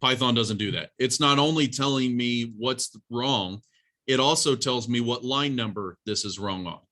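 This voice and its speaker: Man, college instructor, bright crisp-edged tone, medium pitch, informal classroom teaching, plain-spoken, instructive, direct to students. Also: normal speed. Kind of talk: informal classroom lesson with live coding demonstration.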